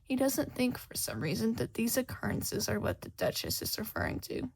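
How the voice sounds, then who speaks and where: Female audiobook narrator for fan fiction: highish, lively intonation, creaky edges. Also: normal speed. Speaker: podfic narrator.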